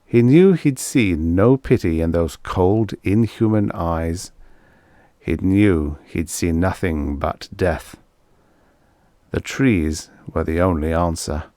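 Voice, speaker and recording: A man's voice: deep voice, slow pace, mellow, no room sound, clean studio sound. A man reading a scripted story as an audiobook narrator.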